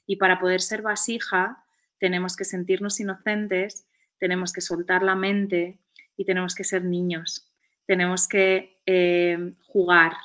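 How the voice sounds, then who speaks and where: A woman in her forties with a somewhat low voice, calmly explaining spiritual ideas. She slows down to weigh her words and speaks over a webcam from a room at home. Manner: calm, explanatory, slows down for key points. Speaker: guest. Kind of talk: reflective spiritual conversation. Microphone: video call webcam.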